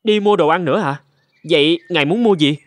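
An actor playing a humble but clever young slave in a cartoon folk tale, deferential and articulate. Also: male voice, on the high side, a little fast, dry recording, close to an everyday voice. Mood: polite. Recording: music behind the voice.

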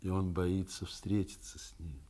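An actor in a Soviet radio play playing a middle-aged, principled school principal and worried father, speaking in a deliberate, reflective manner. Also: deep voice, steady tone, warm tone. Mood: pensive, worried. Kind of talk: Soviet radio drama acting, narrating.

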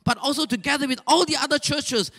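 An older man with a Malaysian English accent preaching a sermon on a church stage into a handheld mic, animated and exhorting, with rhetorical questions and sudden shouts. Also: very high voice, quick pace. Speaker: pastor.

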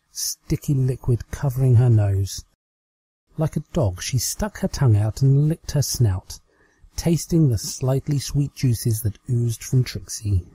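A man's voice: medium pitch, mellow, slightly rough, no echo, poor audio. A British man reading a story aloud as a scripted amateur narration. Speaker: amateur fan fiction reader.

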